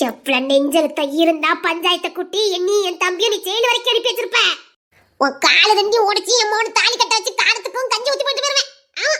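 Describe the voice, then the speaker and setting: Woman acting furious mom, really high sharp shrill voice, vicious scolding. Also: heavily acted. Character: furious, menacing middle-aged village mother. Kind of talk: melodramatic drama acting.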